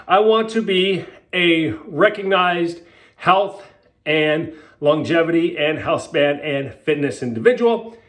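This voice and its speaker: A man hosting his own podcast as a health coach, talking candidly and emphatically with fillers and the odd swear, a little sniffly from a cold. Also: on the high side. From Canada. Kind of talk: casual solo podcast monologue.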